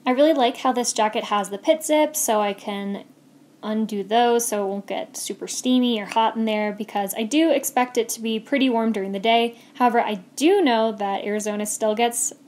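A woman's voice: a little high, moderate pace, darkish tone. Chatty young woman walking through her gear. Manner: casual, chatty, upbeat. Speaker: vlogger. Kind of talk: voice-over gear walkthrough.

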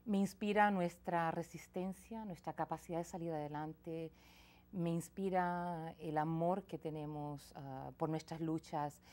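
Soft-spoken woman, lower voice. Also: fairly even intonation, a little slow. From Chile. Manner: reflective, soft-spoken, with frequent restarts. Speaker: guest singer-songwriter. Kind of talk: casual interview conversation. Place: small TV studio set. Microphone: lapel mic.

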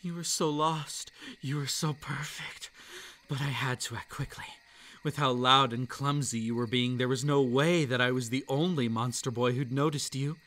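A man voicing a possessive, gloating yandere kitsune monster boy in an intimate, hushed, menacing ASMR roleplay monologue. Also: mid-range voice, steady tone, normal speed, lightly acted. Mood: hungry, possessive. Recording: night insect ambience behind the voice. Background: crickets and night insects.

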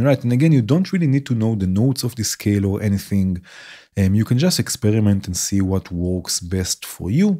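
A man hosting a modular synth tutorial, explaining patches in a relaxed way and often checking in with "right?". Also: fairly low pitch. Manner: relaxed explanatory, frequent "right?" tag questions. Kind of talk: screen-recorded software tutorial. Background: synth music playing loudly under the voice.

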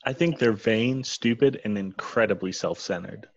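A man reads the part of a self-conscious woman journalist, earnest and easily exasperated, in a comedy script. The voice is low and husky, with halting delivery, over muffled video call audio. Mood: frank. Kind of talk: comedy script table read.

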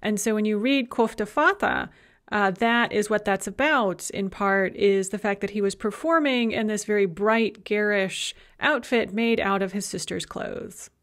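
A woman's voice: mid-range voice, measured pace, a bit bright. A middle-aged woman giving a recorded university lecture in a conversational way, with frequent filler sounds.

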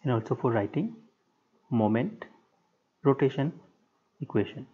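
A man giving a structural engineering lecture over a whiteboard screen recording, speaking in short, halting, measured phrases. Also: mid-range voice, expressive, husky. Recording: dull lo-fi sound.

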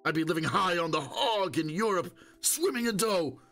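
A man voicing a wounded, wheedling old stalker in an audiobook, boastful and desperate, with a hoarse, strained, pleading voice. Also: high-pitched, expressive, normal speed, a bit bright, soft background music, pitched above the performer's own voice. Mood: wistful boasting. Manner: pleading, moaning, insistent.

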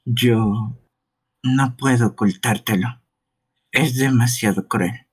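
A man playing a grave, reluctant police detective in a halting, sombre game fan dub. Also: mid-range voice, slightly rough. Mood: regretful. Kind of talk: live fan dubbing of a visual novel game.

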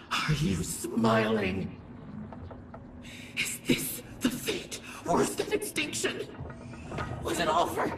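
A woman playing a vengeful, grieving alien war goddess in a video game, delivering a grandiose, theatrical monologue in a rasping voice. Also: deep voice, heavily acted. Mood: despairing grief. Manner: grandiose, slow, theatrical, swinging from whispers to shouts. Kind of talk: dramatic game audio-log monologue. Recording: echoey, distant voice with music underneath.

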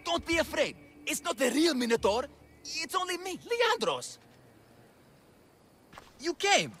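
Casting: bombastic masked game hero, very high-pitched, swooping wildly, grandiose and earnest. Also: male voice, big pitch swings, measured pace, fairly bright tone, a little hiss or hum, heavily acted. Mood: eager, reassuring. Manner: theatrical, bombastic, grandiose declarations. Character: blustering man in Minotaur mask, mock-heroic, devoted father. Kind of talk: dramatic video game voice acting.

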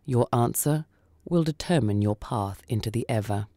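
A woman voicing a sombre, coolly mocking male judge of the dead in a radio story, measured and stern. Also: very deep voice, warm tone, no echo. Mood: solemn.

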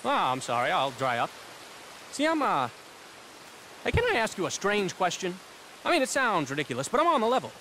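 A young male actor playing an eccentric, flustered, excitable young gentleman with old-fashioned slang in a video game. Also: sits high, brisk, pauses often, a little hiss or hum, full character voice, low-quality recording. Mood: nervous, apologetic. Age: young adult. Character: eccentric, jittery, slang-talking young gentleman, lost and scheming. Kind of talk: video game character acting.

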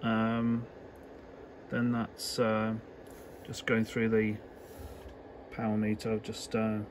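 A man giving a casual, unscripted workbench demonstration in halting, hesitant phrases, as an electronics hobbyist would. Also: lowish voice, completely flat delivery. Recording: clear background noise.

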